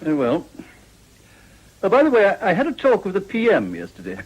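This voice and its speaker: An actor playing a weary older government minister in a period radio drama, brisk and understated. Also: male voice, high voice, wildly swooping pitch, husky, exaggerated voice, poor audio. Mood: weary, businesslike.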